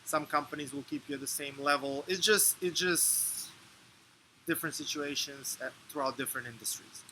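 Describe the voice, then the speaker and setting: A man from Serbia in his thirties, answering interview questions in a measured, explanatory way with fillers and restarts. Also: a little high, pushed and bright, a little hiss or hum, rough recording. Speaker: interview guest.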